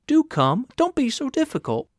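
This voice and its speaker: A man's voice: very high voice, wildly swooping pitch, normal speed, dry recording, clean studio sound, pitched above the performer's own voice, heavily acted. A man voicing a kindly, very fat, insistent woman who runs a hotel, coaxing in audiobook dialogue.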